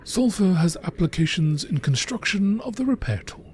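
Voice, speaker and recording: Higher-pitched man narrating like a nature documentary. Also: lively intonation, normal speed, very noisy.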